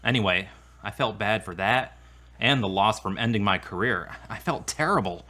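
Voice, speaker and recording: A man's voice: fairly low pitch, normal speed, pushed and bright. A man playing an earnest, sensitive grown son with a serious manner in an audio drama. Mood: earnest, confessing.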